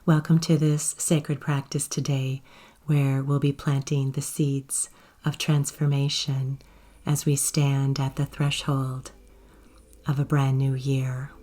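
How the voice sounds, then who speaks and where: A woman guiding a meditation in a soft, gentle, unhurried way, with breathy phrase endings. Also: a bass voice, little pitch movement. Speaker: yoga nidra guide. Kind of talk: guided meditation narration. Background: soft music.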